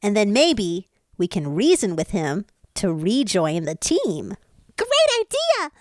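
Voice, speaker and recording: A woman's voice: medium pitch, huge pitch swings, takes it slowly, no room sound, studio quality. A woman playing an earnest, sensible young cartoon animal sidekick in a fan audio drama, given to worry. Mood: encouraging. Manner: pleading, sensible.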